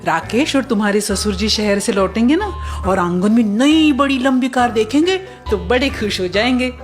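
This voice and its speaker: Greedy cartoon mother-in-law played by a woman: middle-aged, scheming, highish voice, theatrical drawn-out exclamations, music underneath. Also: animated, natural voice. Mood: gushing, greedy. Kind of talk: cartoon moral-story voice acting.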